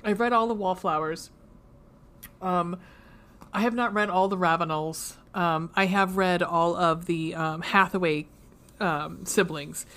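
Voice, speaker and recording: Casual woman podcaster, deep for her sex, chatty and profane with lots of ums. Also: fairly even intonation, measured pace, a bit bright. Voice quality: low voice. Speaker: podcast co-host. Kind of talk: casual chat.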